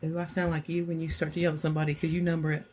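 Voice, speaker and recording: A woman co-hosting a casual podcast, bantering and teasing, her voice a little gravelly from a sore throat. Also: low-pitched, level tone, racing, a little mellow, slight background noise. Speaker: podcast co-host. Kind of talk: casual chat.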